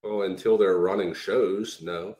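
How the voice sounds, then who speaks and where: A man's voice: deep voice, steady tone, unhurried, warm tone, roomy sound. A man co-hosting a casual wrestling podcast, stammering and repeating words as he starts his points. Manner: stammering, repeats words before getting going. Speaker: podcast co-host.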